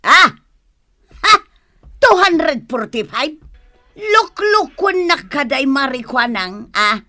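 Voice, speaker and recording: A feisty woman shopper from a radio comedy drama. Her voice is very high and bright, with slow, lively, exclaiming delivery that challenges whoever she addresses. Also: no room sound, full character voice. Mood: indignant, scolding. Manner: exclaiming, confrontational. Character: quarrelsome female customer and mother, indignant, scolding.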